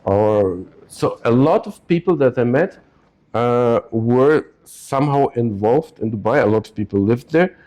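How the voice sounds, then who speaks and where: A Ukrainian-born man, an investor and lawyer, answering interview questions in an office with a halting delivery full of restarts. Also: mid-range voice, expressive, a little mellow. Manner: halting, many restarts and fillers. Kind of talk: casual podcast interview.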